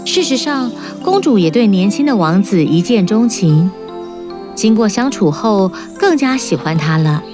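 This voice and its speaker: A woman narrating a children's fairy-tale cartoon in a calm, even storytelling manner. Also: mid-range voice, measured pace, music in the background.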